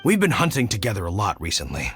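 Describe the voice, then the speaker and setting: A middle-aged man voicing an easygoing, fatherly hunter who talks in a relaxed, thoughtful way. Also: on the low side, fast talker, soft background music. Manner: relaxed, thoughtful, frequent sighs. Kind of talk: game cutscene voice acting.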